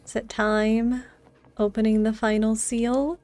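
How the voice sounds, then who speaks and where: A woman's voice: fairly high pitch, little pitch movement, takes it slowly, darkish tone. A woman game streamer reacting casually to a live playthrough in short murmured asides.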